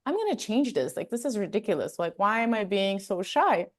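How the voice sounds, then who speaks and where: A woman's voice: mid-range voice, big pitch swings, a little fast. A woman from Armenia, a wellness coach and interview guest, telling stories in a chatty, casual way with lots of fillers.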